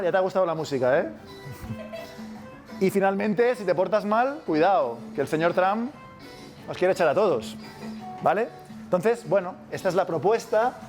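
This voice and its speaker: A man from Spain with a Spanish accent giving a casual university masterclass, constantly checking in with his audience. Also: sits high. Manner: casual, keeps checking with "¿vale?". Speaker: university lecturer. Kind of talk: masterclass lecture.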